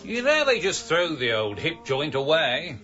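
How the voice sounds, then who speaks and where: A man's voice: a little high, wildly swooping pitch, unhurried, pushed and bright, lo-fi sound, faint music behind, exaggerated voice. An actor playing a rambling, oblivious elderly father in a radio comedy, pedantic about trivial detail. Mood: chatty, oblivious. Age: older.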